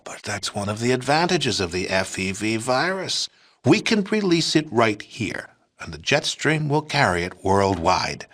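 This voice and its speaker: A fanatical American head of state as a video game villain. His voice sits a little low, with an American accent. He orates like a folksy politician, utterly righteous and condescending. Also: sharp tone, full character voice. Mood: coldly dismissive. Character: fanatical, self-righteous American president plotting mass extermination.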